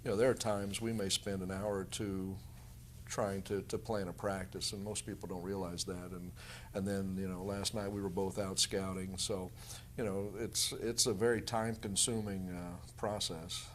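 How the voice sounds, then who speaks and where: Low-voiced American man, a basketball coach. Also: level tone, moderate pace, slight background noise. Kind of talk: casual interview answers.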